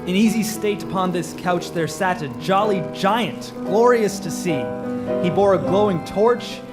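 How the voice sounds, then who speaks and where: A man's voice: high voice, measured pace. A man narrating a radio drama in a scripted storytelling style. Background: music.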